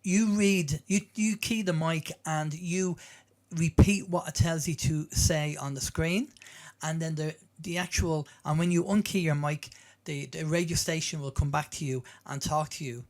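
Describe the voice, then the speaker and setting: A man's voice: a little high, steady tone, a little slow, darkish tone. A man giving an informal, plain-spoken walkthrough as a presenter, explaining step by step and often repeating himself. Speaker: presenter demonstrating web apps.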